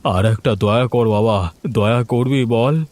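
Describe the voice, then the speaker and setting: A man's voice: medium pitch, takes it slowly, darkish tone, natural voice. A man voicing a blustering, heavyset village gang boss in a cartoon fairy tale, with a melodramatic delivery. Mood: subdued pleading. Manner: threatening, melodramatic.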